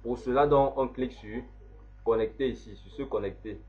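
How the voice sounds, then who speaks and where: A man, slowly walking through a software setup step by step as an instructor. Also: mid-range voice, a little hiss or hum. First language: French. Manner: slow, step-by-step explaining. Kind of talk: screen-recorded software tutorial. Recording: dull lo-fi voice recording.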